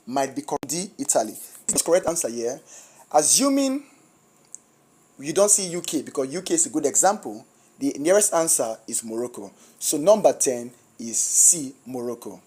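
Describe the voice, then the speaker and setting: Nigerian exam tutor, husky and sore-throated, reads out the options and explains them one by one. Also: medium pitch, darkish tone. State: has a flu with a sore throat. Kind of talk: walking through exam questions.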